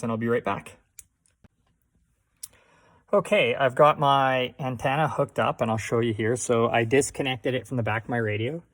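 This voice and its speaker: A man hosting a casual do-it-yourself tutorial, explaining things step by step in a halting way. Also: medium pitch, creaky. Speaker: host of a DIY radio tutorial. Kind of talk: casual how-to demonstration.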